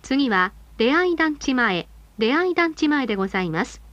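A woman with a high voice delivers scripted announcements for bus passengers. Her delivery is formal, polite and carefully measured. The sound is dry, with no room echo around her. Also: sing-song, fairly bright tone, highs cut off.

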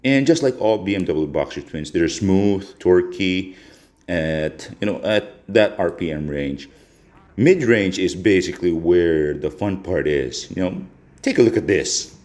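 A man's voice: low voice, expressive, unhurried, darkish tone. A man from the Philippines giving a casual, conversational voice-over review as a motorcycle vlogger. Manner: conversational, informal.

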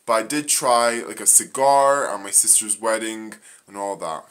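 A young man from Northern Ireland in a living room, reading off facts about himself into a phone camera, halting with many ums and uhs. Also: fairly low pitch, a bit bright. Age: young adult. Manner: halting, frequent ums and uhs, slow and plain. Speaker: vlogger. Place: small living room indoors. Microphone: phone camera at arm's length.

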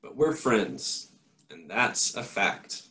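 Man squeaking as Stellaluna, a timid little girl bat. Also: very animated, takes it slowly, sharp tone, heavily acted. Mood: gentle. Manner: squeaky, eager. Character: timid young female fruit bat. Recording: echoey room.

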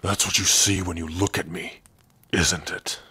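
A man acts out a huge male orc captive in a fantasy roleplay. The voice is very low and heavily rasped, with a slow, guarded delivery. He sounds gruff and sardonic, speaking intimately to one listener. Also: steady tone, pushed and bright, dry recording, full character voice. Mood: menacing, bitter. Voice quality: husky, rough, breathy. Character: big gruff male orc prisoner, guarded but lonely. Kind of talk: ASMR roleplay addressed to the listener.

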